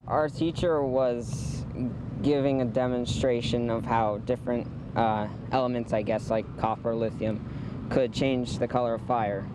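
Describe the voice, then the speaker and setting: Teen male eyewitness, American, halting interview delivery, mid pitch, clear traffic noise outdoors. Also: steady tone. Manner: halting, searching for words. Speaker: student eyewitness being interviewed. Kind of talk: unscripted eyewitness account.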